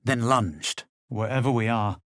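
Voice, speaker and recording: An actor playing a haughty, self-assured fantasy adventurer in a video game, with a superior, dry and dramatic delivery. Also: male voice, medium pitch, a little slow, a bit bright, dry recording, natural voice. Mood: grave narration. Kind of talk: scripted video game voice lines.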